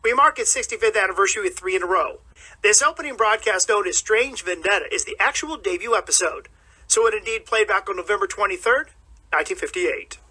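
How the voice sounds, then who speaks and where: A man with a husky voice hosting a radio show with a scripted introduction. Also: high-pitched, a little fast, pushed and bright, poor audio. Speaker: radio host.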